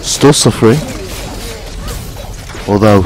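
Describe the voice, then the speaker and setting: Gaming host, 50s, man: gruff and hoarse from a cold, self-mocking casual chatter. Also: on the low side, slow. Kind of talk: casual let's-play commentary. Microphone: headphones. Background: game music.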